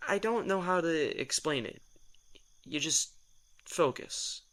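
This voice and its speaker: A man's voice: fairly high pitch, lively intonation, slow pace, fairly bright tone. A man acting a reluctant vampire in an audio drama, a sardonic, put-upon office worker who tells things in a wry, hesitant, self-deprecating way. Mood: exasperated, awkward.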